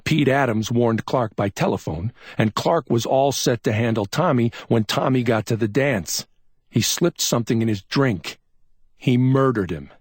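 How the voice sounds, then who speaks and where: A man voicing a brusque, excitable male police officer who snaps out urgent reasoning. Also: mid-range voice, moderate pace, slightly rough, no echo, studio quality, pitched above the performer's own voice, close to an everyday voice. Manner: snapping, urgent, scolding.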